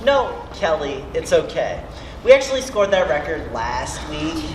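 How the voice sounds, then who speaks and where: Guy acting easygoing high school jock, lively pitch, far from mic, echoey school play. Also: a little slow. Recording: distant, echoey stage with faint noise.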